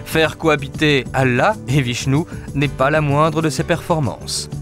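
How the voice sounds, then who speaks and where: A man reading a scripted documentary narration in a measured, expressive way, recorded as a studio voice-over. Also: fairly high pitch. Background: music.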